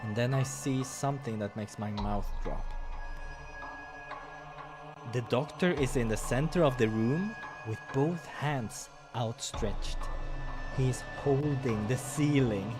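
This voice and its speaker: A man's voice: medium pitch, slow. Man narrating a visual novel playthrough. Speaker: let's player. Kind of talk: dramatic read-aloud narration with casual asides. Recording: music behind the voice.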